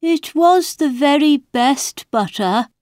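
A woman voicing a mad male hare in a children's storybook, contrary and excitable. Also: very high-pitched, slow, no echo, studio quality, pitched above the performer's own voice. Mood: sad. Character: March Hare, mad male hare, rude then mournful.

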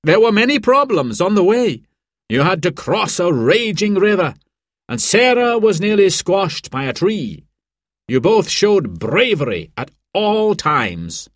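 A man's voice: sits high, sing-song, a little slow, no echo, clean studio sound, voice pushed up, full character voice. A man voicing a proud mountain rescue team leader giving a formal announcement in a storybook reading.

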